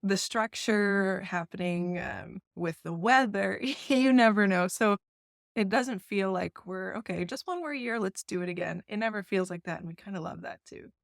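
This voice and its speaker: A woman from New York talks as an interview guest in a gentle, diplomatic way, laughing easily between thoughts. Her voice sits at a middling pitch and is recorded dry and close, with no room echo. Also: normal speed, gravelly. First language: English. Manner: soft, diplomatic, laughs often. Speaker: festival founder, guest. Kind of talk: casual interview chat.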